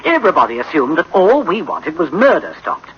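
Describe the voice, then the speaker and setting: A man's voice: fairly high pitch, huge pitch swings, brisk. A man playing a troubled, conscientious chief of a futuristic project in a dramatic, brooding radio drama style. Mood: bitter. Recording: dull, noisy old radio recording.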